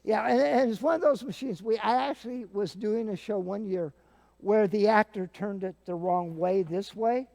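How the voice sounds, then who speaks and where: Older man with a high, mellow voice. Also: moderate pace, vocal fry. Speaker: guest, theater sound-effects practitioner. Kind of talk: casual explanatory demonstration.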